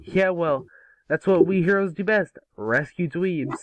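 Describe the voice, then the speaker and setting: A brash cartoon boy hero, played by a man, cocky and teasing. The voice sits a little high and is recorded dry and close. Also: measured pace, no room sound, poor audio. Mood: wisecracking. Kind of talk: cartoon table-read acting.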